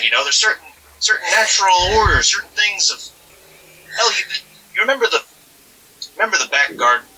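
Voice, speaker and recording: A man acts out an otherworldly thing wearing the manner of a dead husband in an audio horror drama. The voice is strikingly bright and forceful and swings in pitch. He coaxes in folksy, halting loops, over faint background noise. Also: far from the mic, exaggerated voice, poor audio. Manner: halting, rambling, folksy, repeats himself.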